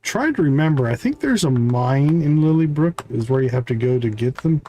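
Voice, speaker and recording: A man's voice: mid-range voice, animated, normal speed, dark tone, slight background noise. A man live streaming a video game, giving casual commentary as he thinks aloud and chats to viewers.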